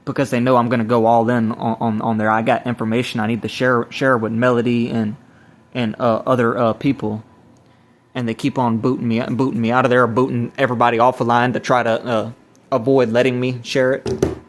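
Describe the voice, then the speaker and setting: A man giving a rambling personal testimony as an evangelist, speaking haltingly and repeating his phrases. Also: mid-range voice, level tone. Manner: halting, repetitive, restarting phrases. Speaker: self-described evangelist recording a personal video. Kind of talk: rambling spoken testimony to camera or phone.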